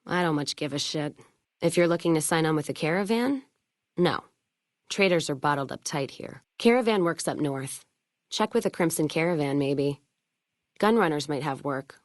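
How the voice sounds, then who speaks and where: Gruff wasteland caravan woman, low voice, bitter hard drinker, sardonic game character, really dry close sound. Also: normal speed, no room sound. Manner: gruff, sardonic, blunt frontier drawl of speech, dropped words. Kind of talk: video game character dialogue.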